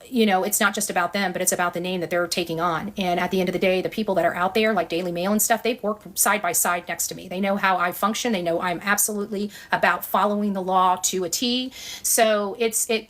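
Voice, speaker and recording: Casting: woman online commentator, rapid delivery, blunt and defiant, off-the-cuff address to her audience. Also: medium pitch, a bit bright. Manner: defiant, emphatic, blunt with some swearing. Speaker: video journalist and online channel creator. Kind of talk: unscripted commentary to camera for followers.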